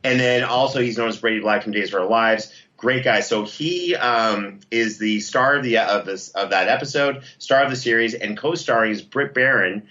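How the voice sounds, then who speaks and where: A man's voice: medium pitch, moderate pace, few pauses, bright, forceful tone. An enthusiastic, effusive man talking casually as an interview guest, promoting his work as a producer. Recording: slightly dull, lo-fi line.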